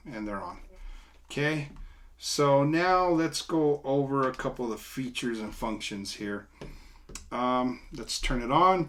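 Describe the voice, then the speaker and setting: A middle-aged American man talks through a product in a casual, unscripted review. His voice sits at a medium pitch. He is informal and plain-spoken, explaining in short, halting steps while seated a few feet from the camera in a small room. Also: fairly bright tone.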